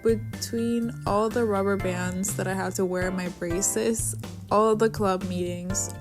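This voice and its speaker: A woman's voice: mid-range voice, steady tone, a little slow. A woman of twenty from Venezuela, a native Spanish speaker, cheerfully narrating her personal story for a vlog. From Caracas, Venezuela. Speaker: vlogger. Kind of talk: personal narration over a drawing video. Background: music.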